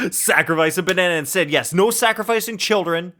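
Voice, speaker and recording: A man's voice: high-pitched, brisk, sharp tone. A young man streaming a game, chatting casually and playfully with viewers and joking as he reacts. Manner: playful, jokey, reads chat aloud.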